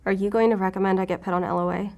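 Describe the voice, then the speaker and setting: A woman's voice: medium pitch, fairly even intonation, rapid-fire, a little mellow, lightly acted. Sardonic, guarded woman agent, played by an actress. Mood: uneasy. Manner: sardonic, deflecting, casual and blunt. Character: guarded, sarcastic female agent in forced therapy. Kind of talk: naturalistic audio drama acting.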